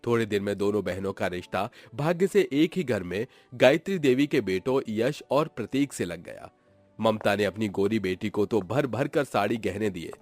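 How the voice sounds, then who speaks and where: A man narrating a scripted moral story in a dramatic storytelling style. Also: lowish voice.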